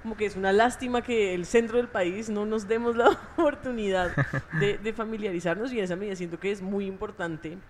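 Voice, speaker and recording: A woman's voice: medium pitch, steady tone, fairly quick, hardly any pauses, slight background noise. A woman who grew up in Ecuador, interviewing a band in a chatty way, thinking aloud with many fillers. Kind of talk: casual interview.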